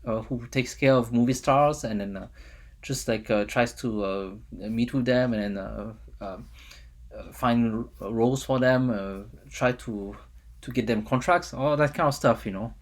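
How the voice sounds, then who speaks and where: A young man from France talks in a French accent, reviewing a show for his vlog. He rambles, filling gaps with "uh" and restarting his sentences, chuckling now and then. His voice sits at a middling pitch. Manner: rambling, many fillers and restarts, chuckles often. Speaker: vlogger.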